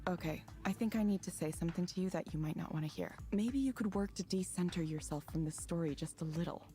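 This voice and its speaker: An actress plays a careful young woman counselor with a mellow, lowish voice. She speaks quickly yet gently in therapeutic phrases, over faint game music. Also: natural voice. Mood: measured, probing. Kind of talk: visual novel game voice acting.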